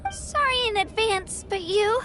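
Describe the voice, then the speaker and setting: A woman's voice: extremely high pitch, unhurried, music in the background. An actress voicing a sweet, earnest little girl warrior in an anime-style video game, polite and sincere. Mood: newly brave.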